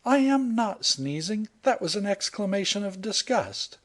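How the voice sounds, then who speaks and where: A man reading aloud and voicing an emotional young woman, trapped by the tide and later indignant, in a high, strained voice. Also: big pitch swings, measured pace, full character voice. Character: anxious excitable young woman in peril.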